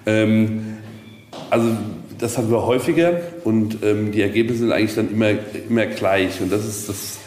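Male interviewee, casual storyteller, lower pitch, recorded on location with echo and background noise. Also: moderate pace, a little mellow. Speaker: castle leaseholder, interviewee. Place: at a hilltop castle, reverberant. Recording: echoey, long reverb, noisy ambience.

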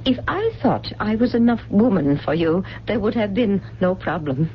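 A woman's voice: medium pitch, sing-song, moderate pace, lightly acted. An actress playing a proud, anguished older mother in a radio drama, protesting with open emotion. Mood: flustered tenderness. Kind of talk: 1970s radio drama acting. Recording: dull, noisy 1970s radio recording.

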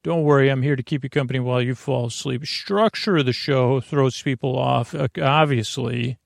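A man with a gravelly voice hosting a sleep podcast, rambling through a bedtime monologue, over-explaining and trailing off mid-thought. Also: medium pitch, normal speed, dry recording, clean studio sound. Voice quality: gravelly, creaky. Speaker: podcast host.

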